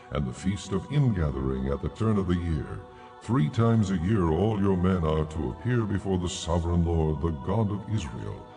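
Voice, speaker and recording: An actor playing God as a solemn, stern lawgiver in a dramatized audio Bible, speaking gravely and with deliberate authority. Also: male voice, low voice.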